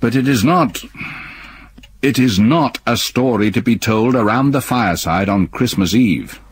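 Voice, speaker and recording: An actor playing an older stepfather who narrates a haunting memory in a grave, measured voice with a husky tone. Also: mid-range voice, lively intonation, dry recording. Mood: haunted. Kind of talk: radio drama acting.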